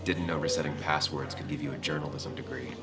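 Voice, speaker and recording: An actor playing a guarded former journalist in a video game, speaking tersely with hesitant, dry sarcasm. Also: male voice, very deep voice, steady tone, quick pace, loud music behind the voice. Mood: dryly sarcastic.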